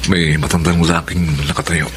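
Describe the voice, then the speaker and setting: An actor playing a smooth, persistent and courteous Filipino suitor in a radio drama. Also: male voice, deep voice, level tone, fairly quick, natural voice. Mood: searching, polite. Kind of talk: radio drama acting and monologue. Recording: noisy background ambience.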